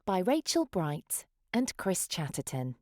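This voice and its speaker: A woman's voice: lowish voice, very animated, slow pace, darkish tone, no room sound, studio quality. A woman reading a children's picture book aloud in a dramatic, sing-song rhyming delivery. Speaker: children's story reader.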